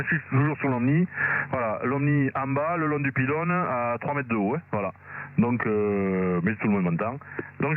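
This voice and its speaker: A man's voice: mid-range voice, narrow, tinny audio. A man chatting casually as an amateur radio operator, repeating himself and peppering his talk with little verbal tags. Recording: noisy two-way radio with hum.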